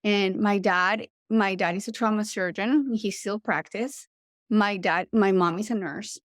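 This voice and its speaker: A woman's voice: mid-range voice, steady tone, measured pace, no room sound, studio quality. A middle-aged woman from Colombia with a Colombian Spanish accent, talking as a guest in an interview, earnest and passionate, with frequent restarts. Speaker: trauma surgeon guest. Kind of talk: casual interview talk.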